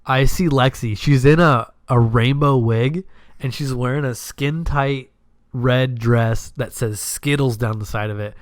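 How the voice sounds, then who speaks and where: A man hosting a podcast, telling personal stories in a casual, slangy, animated way with plenty of swearing and acted-out dialogue. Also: medium pitch, measured pace, no room sound, clean studio sound. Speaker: podcast host.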